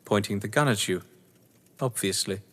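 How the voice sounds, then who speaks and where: An actor playing a cold, gloating crime novelist and betrayed husband in an audio drama, speaking with eloquent, taunting menace. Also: male voice, fairly low pitch, normal speed, fairly bright tone. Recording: reverberant hall-like room.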